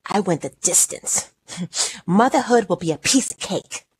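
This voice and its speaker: A woman performing a monologue as an anxious, pregnant 29-year-old in a clinic waiting room, nervous and chatty, swinging between high outbursts and whispers. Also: lively intonation, unhurried, husky, dry recording, poor audio.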